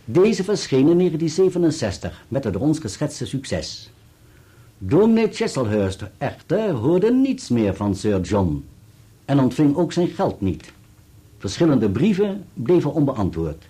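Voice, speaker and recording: An actor playing a legal adviser in a radio play, speaking in a measured, formal and articulate way. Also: male voice, medium pitch, sing-song, mellow, husky. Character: measured legal adviser reading out a written accusation. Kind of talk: Dutch radio play acting, formal reading.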